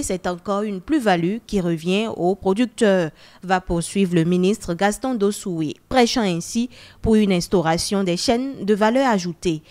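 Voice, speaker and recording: A woman's voice: mid-range voice, a little mellow. A woman narrating a scripted television news report as a reporter.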